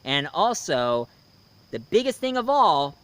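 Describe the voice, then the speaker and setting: Halting man, slightly high clear voice. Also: big pitch swings, a bit bright. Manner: halting, searching for words, repeating himself. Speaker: video reviewer and host. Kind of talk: casual unscripted review talk.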